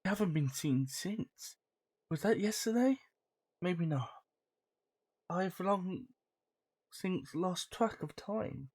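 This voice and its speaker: A woman playing a weary, resigned captive voicing her diary in a video game, halting as she goes. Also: fairly low pitch, animated, a little mellow, breathy edge, heavily acted. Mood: despairing, resigned. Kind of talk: video game dramatic monologue.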